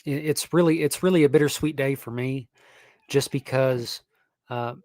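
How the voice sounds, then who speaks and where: A man's voice: medium pitch, dry recording. Nasal young man, dry close mic. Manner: rambling, many fillers and restarts. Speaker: sports stream host. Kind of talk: casual live-stream talk.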